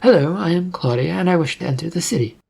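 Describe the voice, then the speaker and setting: Narrator voicing a sly, higher-pitched sorceress. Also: expressive, fast, vocal fry, darkish tone, slightly rough. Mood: confident, commanding. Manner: assertive, sly, slightly haughty. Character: bold, sly young woman spellcaster, haughty negotiator.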